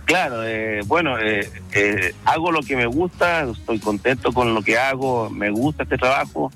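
A man with a Chilean accent, a truck driver telling his story in a relaxed interview, pausing often with fillers. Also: medium pitch, soft background music. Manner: hesitant, many fillers, storytelling. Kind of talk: casual phone-in interview.

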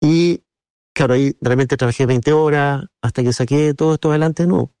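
Chilean man, slightly high-pitched, dry recording. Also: brisk, warm tone, smooth, no room sound. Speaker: interview guest, businessman and board member of a business council. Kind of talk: conversational interview answers with anecdotes.

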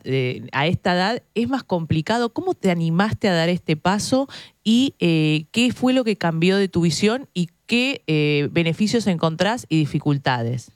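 A woman's voice: lowish voice, no room sound, clean studio sound. A woman in her early forties with an Argentine Rioplatense accent, interviewing in a conversational style. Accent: Argentine Rioplatense Spanish.

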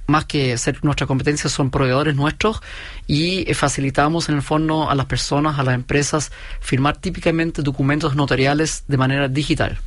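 A man in his forties with a German-Chilean accent, a company founder calmly explaining his business point by point in an interview. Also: a little high, moderate pace, no room sound. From Chile, raised in Germany. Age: 40s. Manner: steady, methodical, explains point by point.

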